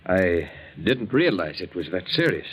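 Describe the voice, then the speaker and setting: A man's voice: low-pitched, big pitch swings, unhurried, slight background noise, low-quality recording. An actor playing a reserved, polite older married man in a restrained 1940s radio drama style. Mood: subdued, concerned.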